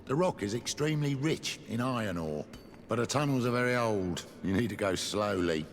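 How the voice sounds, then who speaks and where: A man's voice: fairly low pitch, lively intonation, normal speed, close to an everyday voice. A man voices a cautious village miner in a fantasy game. He talks plainly and practically. The sound has a long reverb tail and faint ambient noise. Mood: matter-of-fact. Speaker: voice actor in a fantasy video game. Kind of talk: game dialogue.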